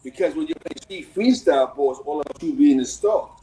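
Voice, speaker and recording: A man's voice: fairly high pitch, animated, a little fast, warm tone, low-quality recording. A man with a New York accent in a casual, impassioned phone conversation, slangy, profane and emphatic, often checking that he's being followed. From United States.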